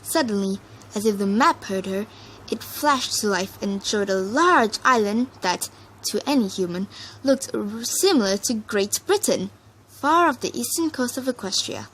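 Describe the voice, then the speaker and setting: A woman reading fan fiction aloud as an expressive storyteller. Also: mid-range voice, unhurried, a little hiss or hum, low-quality recording.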